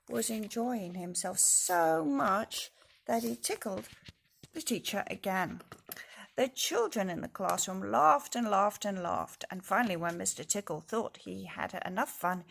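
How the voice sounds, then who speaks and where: A woman reading a children's storybook aloud in an expressive way, speaking directly to young listeners. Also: mid-range voice, a little slow, gravelly, a little hiss or hum. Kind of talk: storybook read-aloud with casual asides.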